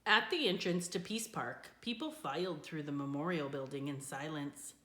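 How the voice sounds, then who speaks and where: A woman reading a children's book aloud in an expressive storytelling style. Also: deep voice, moderate pace. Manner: expressive, with character voices for dialogue. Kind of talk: scripted storybook narration, read aloud. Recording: echoey room.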